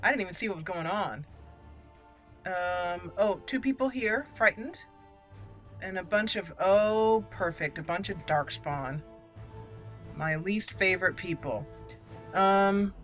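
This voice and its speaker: A woman's voice: mid-range voice, takes it slowly, pauses often. A woman giving casual, chatty gaming commentary, thinking aloud and exclaiming as she plays. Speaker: gameplay video host. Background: game music.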